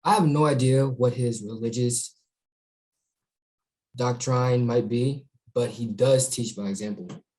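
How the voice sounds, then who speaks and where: A man playing an earnest, assertive high school student in a screenplay table read. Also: steady tone, measured pace, a little mellow, natural voice.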